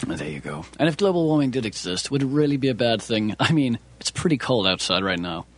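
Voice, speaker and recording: A man in a deadpan comedy chat, answering absurd questions in a dry, matter-of-fact way. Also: mid-range voice, brisk. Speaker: comedy co-host.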